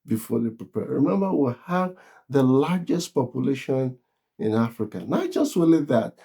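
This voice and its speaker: A man's voice: mid-range voice, very animated, normal speed, creaky, dark tone, slightly rough. A Nigerian man with a Nigerian accent, talking to camera in a casual vlog and giving earnest, emphatic warnings with repeated phrases. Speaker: vlogger.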